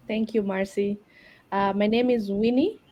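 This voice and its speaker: A woman's voice: mid-range voice, fairly even intonation, measured pace. A Kenyan woman introducing herself on an online panel, a little hesitant and good-humored. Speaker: panel guest, nurse and mother. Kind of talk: casual panel talk. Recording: dull lo-fi sound.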